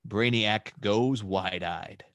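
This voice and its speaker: A man's voice: on the low side, takes it slowly, a bit bright, dry recording, clean studio sound. A man narrating scene descriptions and stage directions for a script table read.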